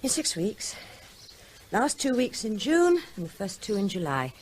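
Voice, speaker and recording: An actress playing a vet's playful grown daughter in a vintage radio drama, young and animated. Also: female voice, mid-range voice, a little slow, a little hiss or hum. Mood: lively. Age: young adult. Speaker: Tess, the daughter.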